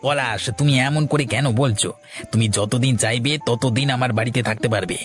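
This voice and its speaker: A man playing a friendly, mid-pitched village neighbour. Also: moderate pace, soft background music, lightly acted. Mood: welcoming. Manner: friendly, sympathetic. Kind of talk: Bengali cartoon voice acting.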